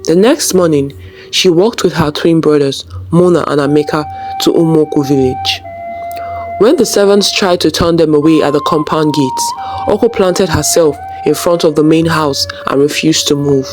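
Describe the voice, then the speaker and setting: A narrator tells a scripted folktale at a middle pitch. The delivery is that of a storyteller reading prose, with music playing underneath. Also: normal speed.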